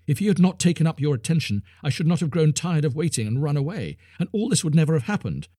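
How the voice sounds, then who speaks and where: A man's voice: a little high, sing-song, fast talker, mellow, slightly rough, no echo, studio quality, voice pushed up. A man voicing a small, proud male crab creature in a storybook reading, insistent and boastful.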